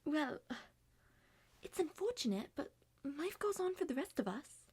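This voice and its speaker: A woman voicing many characters in an ASMR roleplay, speaking softly and often dropping to a whisper. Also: high voice, very animated, measured pace. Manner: soft-spoken, drifts between whispers and normal voice.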